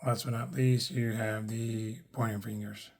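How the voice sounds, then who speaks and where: A man with a hoarse, worn voice, chatting casually through a toy unboxing, rambling with lots of fillers and self-corrections. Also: fairly low pitch, flat delivery, a little slow, darkish tone. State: hoarse, losing his voice. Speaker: toy collector reviewing action figures.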